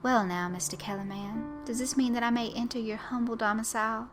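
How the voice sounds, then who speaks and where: A woman voicing a short, cunning male Southern dandy with a Southern accent and a flowery, drawn-out way of stressing his words. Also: on the high side, fairly even intonation, music in the background, voice pushed up, close to an everyday voice. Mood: genteel, menacing. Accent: American Southern. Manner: ornate, drawn-out emphasis.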